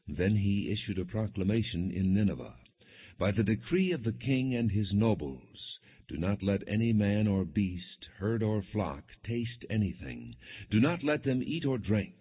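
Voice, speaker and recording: A man reading scripture as an audiobook narrator, in a measured, solemn and expressive way. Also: sits low, breathy edge. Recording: dull, muffled lo-fi audio.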